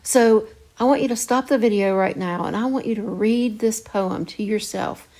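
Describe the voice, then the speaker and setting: A woman English teacher giving a video lesson to young students in an animated, conversational way with emphatic repetition. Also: medium pitch, moderate pace, poor audio.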